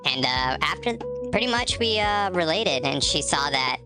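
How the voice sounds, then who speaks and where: A woman's voice: low voice, expressive, normal speed, music in the background. A woman streaming a game who chats casually, laughs often and greets viewers by name, her voice stuffy from allergies. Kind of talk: casual live-stream chat.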